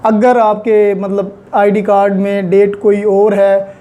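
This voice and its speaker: A law lecturer with a Pakistani accent and a mellow, relaxed tone at a middling pitch. The delivery is deliberate and explanatory, with key terms repeated and unpacked. English legal terms are mixed into the speech. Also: dull audio.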